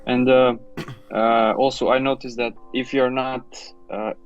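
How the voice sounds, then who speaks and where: A young man from Romania, co-hosting a casual live stream, relaxed and chuckling now and then. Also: mid-range voice, a little slow, smooth. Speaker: co-host. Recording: dull lo-fi stream audio with faint music.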